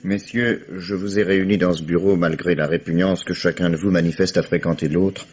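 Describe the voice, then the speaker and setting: Guy doing a low husky old-radio police chief, calm and dry, dull lo-fi sound. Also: soft background music, close to an everyday voice. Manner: measured, dry ironic authority. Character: shrewd calm male police commissioner. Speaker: police commissioner. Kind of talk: 1950s French radio drama acting.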